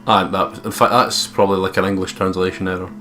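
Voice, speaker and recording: A low-pitched man from the UK hosts a let's play, reading story text aloud and chatting in asides. Clear game music plays behind his voice. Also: little pitch movement, quick pace. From United Kingdom.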